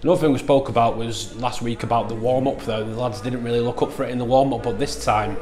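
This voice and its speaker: Chatty club TV interviewer. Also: male voice, mid-range voice, steady tone, fast talker, darkish tone. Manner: chatty, ends points with tag questions. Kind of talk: post-match interview questions.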